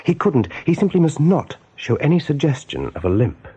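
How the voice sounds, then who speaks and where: Dark, husky male storyteller. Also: a little high, very animated, normal speed, dull audio. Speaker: narrator. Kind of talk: scripted radio play narration.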